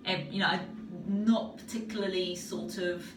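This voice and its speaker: A woman's voice: on the low side, fairly even intonation, normal speed, music in the background. A young woman with a South Wales Valleys accent, chatting casually with lots of fillers and restarts. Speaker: video answerer. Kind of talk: casual spoken answer. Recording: roomy echo, far from mic, loud noise.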